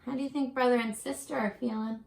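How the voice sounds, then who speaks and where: A woman teacher reading a picture book aloud to young children, expressive and encouraging, pausing for chatty asides and questions. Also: a little high, vocal fry. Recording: roomy echo.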